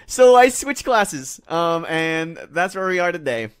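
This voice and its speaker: A man's voice: on the high side, sing-song, a little slow, smooth. A man in his twenties chatting casually as a podcast co-host, rambling and joking at his own expense. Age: 20s. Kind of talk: casual group chat.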